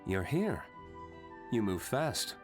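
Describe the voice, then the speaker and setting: A man playing a cool, reserved young nobleman in a video game, calm and understated in manner. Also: lowish voice, takes it slowly. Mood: cool surprise. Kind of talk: video game character dialogue. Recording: music playing behind the voice.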